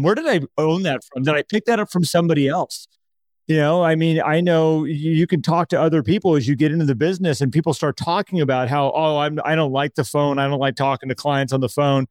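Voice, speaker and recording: A man co-hosting a podcast in casual conversation, telling things in an animated way and voicing imagined quotes. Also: a little high, fairly quick, no echo. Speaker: podcast co-host.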